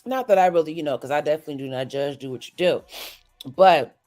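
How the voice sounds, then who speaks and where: A woman's voice: sits low, fast talker, mellow, faint music behind. A woman hosting a morning show, stuffy from a cold, chatting through the news and checking in with listeners. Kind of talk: casual news recap chat.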